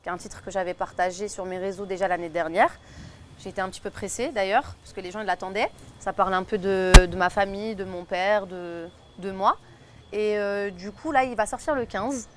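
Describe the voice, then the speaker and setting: A woman's voice: mid-range voice, fairly even intonation, fairly quick, music in the background. Chatty young Belgian woman. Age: 20s. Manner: chatty, many fillers and restarts. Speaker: singer and influencer guest. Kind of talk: casual chat. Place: table at a food tasting.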